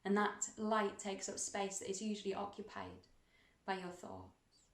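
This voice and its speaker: A woman leading a guided meditation in a gentle, soothing, unhurried manner, leaving long pauses between instructions. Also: mid-range voice, very animated. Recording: roomy, echoing sound.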